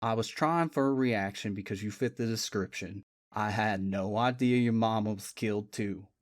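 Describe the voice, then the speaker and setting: A man voicing a composed, slow-talking male Southern detective with a Tidewater drawl. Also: no room sound, clean studio sound, lightly acted. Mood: apologetic. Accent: Tidewater Virginia drawl. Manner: slow, matter-of-fact, low and cool.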